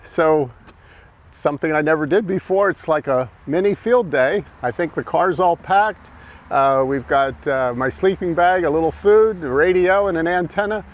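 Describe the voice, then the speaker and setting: A man's voice: a little high, normal speed. An older American man, an amateur radio hobbyist, narrating his outing in a casual vlog style.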